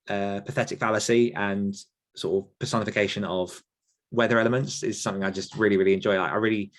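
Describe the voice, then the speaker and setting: Rambling low-voiced man. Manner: rambling, searching for words with many fillers. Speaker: podcast host and horror author. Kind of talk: casual conversational Q&A.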